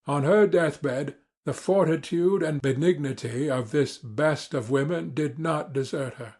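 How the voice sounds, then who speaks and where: A man reading classic literature as a dramatic audiobook narrator, formal, measured and earnest. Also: a little high, darkish tone, breathy edge.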